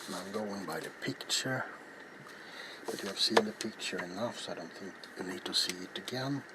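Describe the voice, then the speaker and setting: A middle-aged man narrating a craft in soft ASMR style, hushed and unhurried, often slipping into a whisper. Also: lowish voice. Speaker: ASMR crafting video host. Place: small cluttered indoor workshop. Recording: loud background noise, handling clicks.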